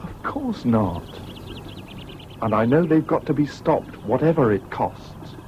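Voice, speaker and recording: A man's voice: medium pitch, lively intonation, measured pace, darkish tone, natural voice. An actor playing an earnest young English student who recalls his past in a British radio drama. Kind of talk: 1970s British radio drama acting and narration. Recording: dull, hissy old radio recording.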